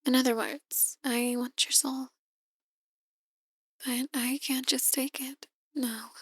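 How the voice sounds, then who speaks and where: A seductive, possessive demon woman, voiced by an actress in an ASMR roleplay. Her voice is very high yet hushed and breathy, recorded dry and close. She coaxes slowly, often slipping into a whisper. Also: steady tone, dry recording, exaggerated voice. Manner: hushed, breathy, slow coaxing delivery. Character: soft-spoken seductive possessive female demon, outwardly a tarot reader.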